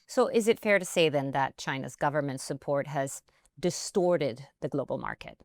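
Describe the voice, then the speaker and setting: Low-voiced woman interviewer. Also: very animated, normal speed, no room sound, clean studio sound. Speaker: interviewer host. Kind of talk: scripted interview questions.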